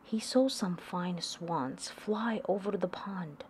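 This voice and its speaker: Female storybook reader for young kids, unhurried and deliberate, slightly low pitch, faint noise behind. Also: darkish tone. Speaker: host reading picture books to children. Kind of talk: read-aloud storybook narration.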